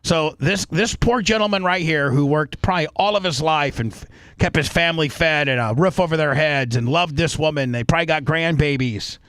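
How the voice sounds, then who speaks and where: A man's voice: a little high, fairly quick, a bit bright, no room sound. A man hosting a talk show with heated, opinionated commentary, blunt and crude, repeating phrases for emphasis.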